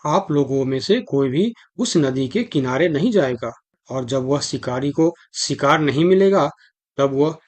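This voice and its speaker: A man playing a protective, resolute lion king in an animal cartoon, speaking in a formal, commanding and reassuring way. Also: medium pitch. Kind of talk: Hindi animal cartoon dialogue.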